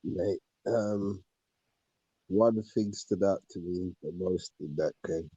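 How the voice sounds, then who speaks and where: A man's voice: sits low, slow pace, mellow, slightly rough, no room sound. A man chatting casually about football as a podcast guest, in slangy speech on a muffled recording. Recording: dull, muffled lo-fi sound.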